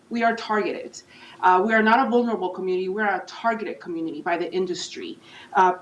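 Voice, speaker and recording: A woman's voice: medium pitch, a little hiss or hum. Earnest woman organizer in an echoey hall. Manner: earnest, urgent, pleading. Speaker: community organizer giving public comment. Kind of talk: public comment at a hearing. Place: public meeting hall. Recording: roomy, distant, dull hall mic.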